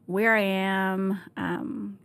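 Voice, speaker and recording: A woman in her thirties, born in Vietnam and raised in Canada, talking candidly as a podcast guest, with lots of fillers, restarts and laughs, on a boom-arm mic in a podcast studio. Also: little pitch movement, extremely slow, vocal fry, a bit bright, clear, resonant tone. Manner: chatty, laughs often, many fillers and restarts. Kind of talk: candid conversational interview. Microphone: desk mic on boom arm.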